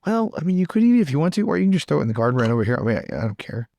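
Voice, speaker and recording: Chatty guy playing an rpg, creaky mid voice, really dry sound. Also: fairly high pitch, big pitch swings, racing, dark tone, dry recording. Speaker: tabletop roleplay player. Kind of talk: improvised play, switching between narration and dialogue.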